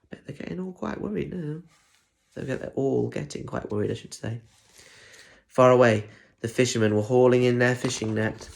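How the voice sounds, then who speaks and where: A man's voice: medium pitch, normal speed, gravelly. A man reading a picture book aloud to children with lots of expression, breaking off for chatty asides and questions. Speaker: primary school teacher reading aloud.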